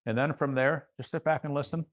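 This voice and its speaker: Male guest expert, average pitch, informal and loose delivery, doubles back on phrases while giving advice. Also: fairly even intonation, a little fast. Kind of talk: casual chat giving practical advice.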